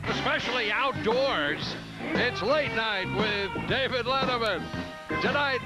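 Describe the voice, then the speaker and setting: An American man announcing a TV show with a big, drawn-out introduction. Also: very high-pitched, animated, poor audio. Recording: old TV tape, loud band music. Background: loud rock and roll band music.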